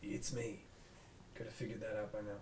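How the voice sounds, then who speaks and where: A man's voice: fairly low pitch, flat delivery, moderate pace, a little mellow, natural voice. A man reading the part of a bitter, wounded police detective in a drama script. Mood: bitter, guarded. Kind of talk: table read of a drama script. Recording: roomy, distant mic, noisy room.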